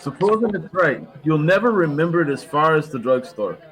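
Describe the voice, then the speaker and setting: A man's voice: a little high, expressive, brisk, a bit bright, low-quality recording. A man playing a brusque, wry bartender in a radio comedy. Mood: amused, wry. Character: dry, wry male bartender.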